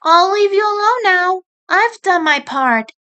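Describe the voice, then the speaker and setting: A woman's voice: very high voice, lively intonation, unhurried, sharp tone, voice pushed up, full character voice. A woman voicing a small female rabbit in an audio story, teasing, direct and matter-of-fact. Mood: businesslike.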